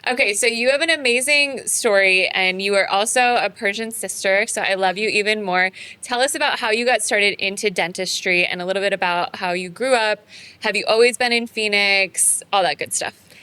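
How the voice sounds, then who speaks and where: A woman's voice: mid-range voice, moderate pace, smooth. A woman hosting a podcast interview, effusive and complimentary toward her guest. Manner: warm, effusive praise of guest. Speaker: podcast host. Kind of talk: casual interview.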